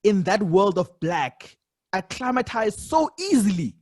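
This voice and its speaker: A man's voice: high-pitched, big pitch swings, unhurried, darkish tone. A man hosting a podcast, chatting casually with his guest and interjecting often in agreement. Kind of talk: casual interview chat with frequent affirmations.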